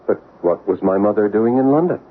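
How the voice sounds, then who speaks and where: An actor playing a troubled man learning a family truth, with halting, intense questioning in radio-drama style. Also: lowish voice, expressive, close to an everyday voice. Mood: anxious questioning. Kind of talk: old-time radio drama acting. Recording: tinny, noisy old radio broadcast.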